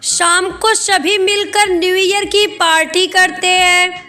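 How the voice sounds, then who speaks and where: A woman's voice: very high-pitched, steady tone, slow, sharp tone, soft background music. A woman narrating a scripted children's cartoon story.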